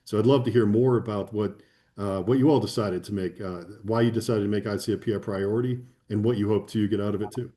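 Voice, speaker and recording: Brief: man, low mellow voice, thoughtful and enthusiastic interview guest. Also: steady tone, quick pace. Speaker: conference guest. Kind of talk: conversational interview.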